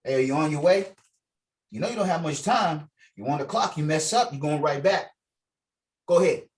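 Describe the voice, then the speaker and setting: A man's voice: a little high, lively intonation, normal speed, close to an everyday voice. Man reading as menacing parole officer, distant mic. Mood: threatening. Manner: threatening, mocking, clipped orders. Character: stern, menacing, sneering male parole officer on a video call. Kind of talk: script table read. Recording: distant mic on online call.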